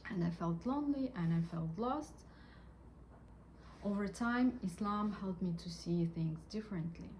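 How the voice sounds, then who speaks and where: A woman from Russia, giving an earnest personal testimony about her faith, with gentle pauses and frequent fillers. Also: medium pitch, animated, slight background noise. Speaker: convert sharing her faith story.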